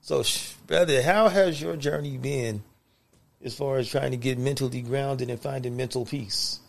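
A man's voice: mid-range voice, normal speed, creaky. A young adult man co-hosting a casual podcast, laid-back and laughing often as he reminisces. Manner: laid-back, laughs often, says "you know what I mean". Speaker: podcast co-host.

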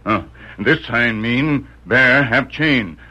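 Slow stoic frontier companion, broken English. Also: male voice, medium pitch, sharp tone. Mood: grave. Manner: halting broken English with dropped words. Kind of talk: vintage radio western acting. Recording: muffled, noisy old radio recording.

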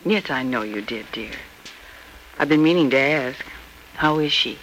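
A woman's voice: rumbling low, measured pace. An actress playing a solicitous, supportive middle-aged American mother in an old radio soap opera. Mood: concerned. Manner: solicitous, expressive. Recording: noisy vintage radio drama recording.

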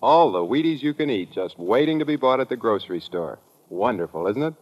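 A man with an American accent giving a folksy, joking sponsor pitch in the style of 1950s radio advertising. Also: expressive, a little fast. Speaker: sponsor's announcer. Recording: dull vintage radio recording.

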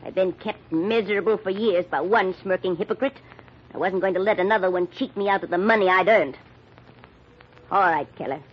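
An American actress playing a middle-aged, bitter and commanding murderer in an old-time radio mystery. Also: female voice, mid-range voice, brisk, breathy edge, highs cut off, lightly acted. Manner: commanding, sardonic. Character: bitter, scheming older woman, a cornered murderer.

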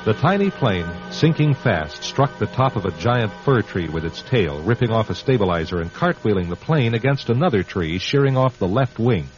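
An actor playing a grave narrator of a suspense tale, measured and dramatic, in old-time radio style. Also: male voice, lowish voice, expressive, soft background music, natural voice. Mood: grave, suspenseful. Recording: dull old radio recording.

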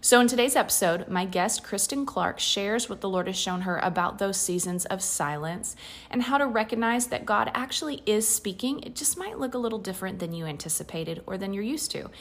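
Woman, podcast host, earnest, conversational, mid-pitched voice. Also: expressive, fairly quick. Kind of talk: faith podcast intro and interview.

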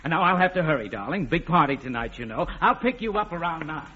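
An actor playing an adoring, earnest, stuffy fiancé in a 1940s radio drama, on a dull vintage broadcast. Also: male voice, a little high, very animated, fast, pushed and bright, slight background noise.

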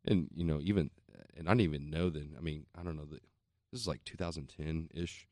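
A man's voice: a bass voice, fairly even intonation, a little fast, mellow, no echo, clean studio sound. A man, a musician, telling a rambling, self-mocking story in casual chat, swearing and laughing easily.

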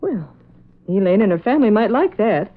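A practical, brisk secretary in a 1940s radio drama. She talks in a businesslike, chatty way at medium pitch, on a dull vintage recording. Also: big pitch swings.

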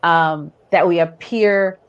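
A woman's voice: on the low side, fairly even intonation, takes it slowly, smooth, lo-fi sound. A woman with an American accent hosting a podcast, talking in a casual, informal, anecdotal way. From born in Barbados, raised in the United States. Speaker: podcast host. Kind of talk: casual conversational interviewing.

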